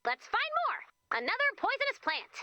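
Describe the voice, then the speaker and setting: Sassy robot assistant, bright high voice, very lively intonation, quippy, sarcastic, video game. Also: female voice, normal speed, no echo, dull audio, exaggerated voice. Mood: upbeat. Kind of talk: video game character voice lines.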